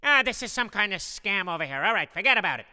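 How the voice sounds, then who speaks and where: Man acting a cheeky, high-voiced traveler in a story. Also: sing-song, fast talker, bright, forceful tone, clear, resonant tone, full character voice. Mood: muttering, hopeful. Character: cheeky, excitable man traveler, talking to himself, gleefully taunting. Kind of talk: acted storytelling for listeners.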